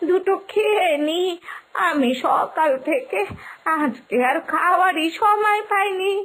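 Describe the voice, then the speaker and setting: A woman voicing a kindly, wistful elderly village grandmother in an animated story, speaking in a gentle, pleading, drawn-out way. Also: very high-pitched, full character voice, poor audio. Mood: gentle, resigned. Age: older. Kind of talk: animated moral story dialogue.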